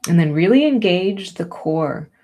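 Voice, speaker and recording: A woman teaching yoga and breathing, giving gentle, soothing, unhurried encouragement as she guides each movement. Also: fairly low pitch, lively intonation.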